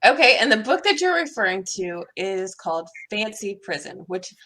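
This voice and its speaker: A woman's voice: mid-range voice, very animated, moderate pace, pushed and bright, rough recording. A woman hosting an interview podcast in an upbeat, encouraging way over a video call. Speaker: podcast host.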